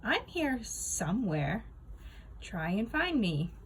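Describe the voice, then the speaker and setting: A woman's voice: mid-range voice, sing-song, slow, slight background noise. A woman reading a storybook aloud and playing a teasing, smart-mouthed sixth-grade boy. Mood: smug. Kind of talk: children's book read-aloud.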